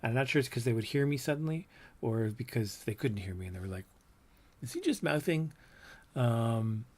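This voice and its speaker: A man in his fifties with a low, soft voice, reminiscing casually on a livestream about his old art, with many ums and trailing thoughts. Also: animated, normal speed. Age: 50s. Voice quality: low, soft voice that doesn't project. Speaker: comics artist showing his old work.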